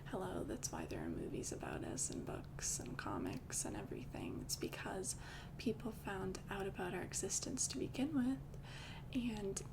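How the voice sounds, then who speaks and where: A young woman voicing a calm, doting female vampire girlfriend in an ASMR roleplay, speaking in soft, intimate whispers close to the mic. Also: mid-range voice, normal speed, gravelly. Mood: soothing. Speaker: ASMR creator.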